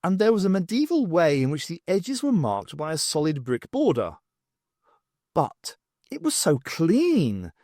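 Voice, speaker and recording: A man reading a story aloud as an audiobook narrator, in an expressive scripted style. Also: fairly high pitch, unhurried, no room sound, studio quality.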